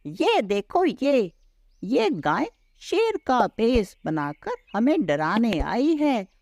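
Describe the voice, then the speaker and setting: A woman's voice: sits high, no echo, clean studio sound, full character voice. A woman voicing a mocking, scornful village cow in a children's cartoon story, with a taunting, jeering delivery.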